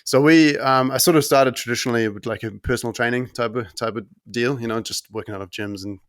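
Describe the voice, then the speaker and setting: A man in his thirties from Germany, whose accent mixes German and New Zealand, talking as a podcast guest in a relaxed, rambling way with many ums and restarts. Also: mid-range voice, fast, no echo. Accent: mix of German and New Zealand. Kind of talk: casual conversational interview.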